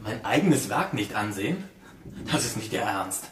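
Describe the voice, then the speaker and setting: An actor playing an earnest, devoted painter in a radio drama, speaking formally and with emotional intensity. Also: male voice, on the low side, expressive, moderate pace, a crowd in the background, close to an everyday voice. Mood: baffled. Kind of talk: German radio drama acting.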